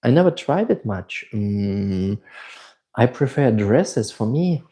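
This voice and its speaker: A man's voice: on the low side, sing-song, slow, warm tone. A middle-aged man from Switzerland with a German accent, chatting casually as a livestream host, reading out viewer questions and answering at length. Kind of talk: casual live Q&A chat.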